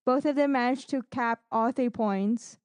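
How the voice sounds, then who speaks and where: Higher-voiced woman, enthusiastic podcast co-host. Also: unhurried, a little mellow, clean tone, dry recording. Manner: enthusiastic, gushing. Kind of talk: casual esports chat and game recap.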